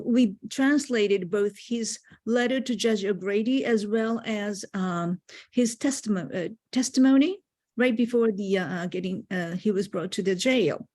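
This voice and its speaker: Female activist, mid-pitched voice, courteous informal meeting remarks, halting as she searches for words. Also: darkish tone.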